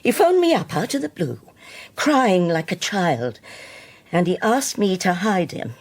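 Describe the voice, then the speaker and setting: Low, husky older Scottish widow. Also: female voice, big pitch swings, unhurried, heavily acted. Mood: anguished, resolute. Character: grave, devout older widow confessing a terrible deed. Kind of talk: naturalistic radio drama acting.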